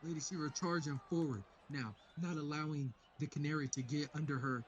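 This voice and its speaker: A man voicing an excited fight announcer, dramatic play-by-play with emphatic bursts of volume. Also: a little high, brisk, lo-fi sound, slight background noise, lightly acted.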